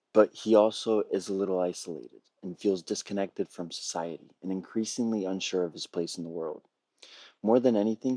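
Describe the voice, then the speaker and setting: A man presents a prepared pitch in a low register with a husky, rough-edged tone. He speaks in measured, earnest phrases, like a storyteller working from notes. The sound is dry, with very little room to it, as on a video call. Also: dark tone, dry recording. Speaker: filmmaker pitching a feature film.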